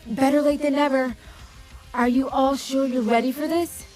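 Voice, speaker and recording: A woman's voice: sits high, fairly even intonation, moderate pace, a little mellow, soft background music, close to an everyday voice. A woman acting a brave, wry teenage hero captain in a superhero audio drama. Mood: wry, determined. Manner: resolute, wry. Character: brave determined teenage heroine, team captain.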